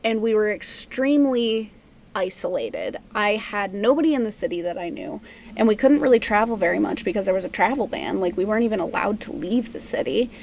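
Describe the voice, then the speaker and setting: A woman in her twenties telling long personal stories in a casual chat, animated and quick to laugh. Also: mid-range voice, vocal fry, a little hiss or hum. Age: 20s. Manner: animated, laughs often, tells long anecdotes. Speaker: podcast co-host. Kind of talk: casual storytelling.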